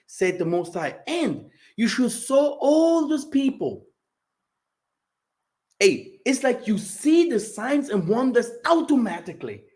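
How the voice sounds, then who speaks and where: Animated older man reading scripture. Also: high-pitched. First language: German. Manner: rambling, animated, reads Bible verses aloud, frequent throat clearing. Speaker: channel host. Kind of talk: livestream monologue with scripture reading.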